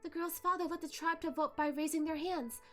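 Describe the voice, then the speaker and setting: A woman voicing a sorrowful young female ghost, telling her tale in a halting, plaintive way for a story reading. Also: extremely high pitch, fairly even intonation, a bit bright, soft background music, voice pushed up. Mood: grieving.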